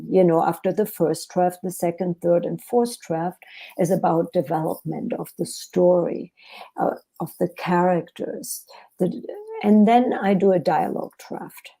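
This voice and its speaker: Author guest: German-accented woman, unhurried, gravelly, low-key, thoughtful. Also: dark tone. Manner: thoughtful, hesitant with fillers and restarts. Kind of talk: podcast interview.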